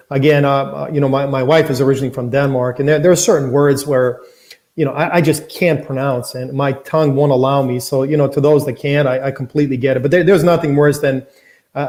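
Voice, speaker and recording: Warm-voiced man from Croatia. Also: medium pitch. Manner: earnest, many restarts and fillers. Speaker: guest. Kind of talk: casual interview chat.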